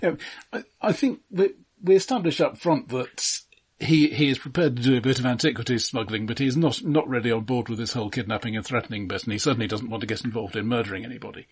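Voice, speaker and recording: A man hosting a casual but analytical film discussion, speaking in a measured, dry and wordy way. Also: medium pitch, breathy edge. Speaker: film discussion podcast host.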